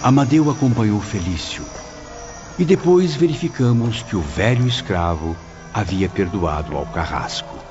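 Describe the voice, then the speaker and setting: A Brazilian man delivers dramatic audiobook narration with solemn, theatrical weight. The sound is a little dull and lo-fi, with clear music beneath his voice. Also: low-pitched. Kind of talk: dramatic scripted narration of a spiritist novel.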